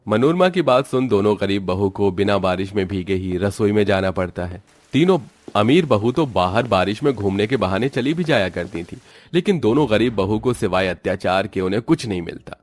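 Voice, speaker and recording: A man's voice: lowish voice, animated, normal speed, a little mellow, no echo. A man giving scripted narration of a story as its narrator.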